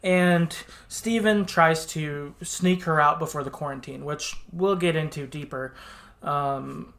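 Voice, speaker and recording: Casual, conversational podcast host with mid-range pitch. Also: takes it slowly, gravelly, fairly bright tone. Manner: conversational, informal, hedging with "like". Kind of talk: casual podcast discussion retelling a game story.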